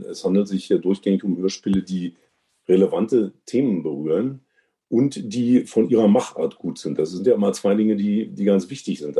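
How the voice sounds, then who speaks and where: A man giving deliberate, halting and wordy explanations as an interview guest and award juror. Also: low voice, dark tone. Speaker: podcast guest and radio drama award juror. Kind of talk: unscripted interview commentary.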